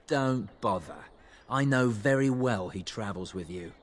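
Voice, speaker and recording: Smug, swooping assassin villain. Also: male voice, fairly low pitch, animated, takes it slowly. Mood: mocking. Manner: taunting, theatrical. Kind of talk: video game voice acting.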